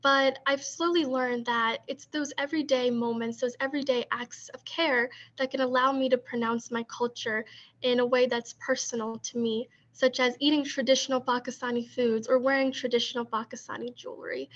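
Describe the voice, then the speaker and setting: A woman co-curator hosting a poetry gathering on a video call, reading a poem in a measured way and then talking casually with a few ums. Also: high-pitched, fairly even intonation, a bit bright, highs cut off. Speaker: co-curator and discussion host. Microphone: video call computer mic.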